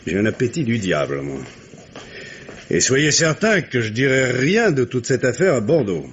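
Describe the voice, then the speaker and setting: An actor playing a shrewd, gruff police commissioner in a 1950s radio drama, with a wry, commanding way of talking on an old recording with faint hiss. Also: male voice, medium pitch, very animated, normal speed, muffled recording.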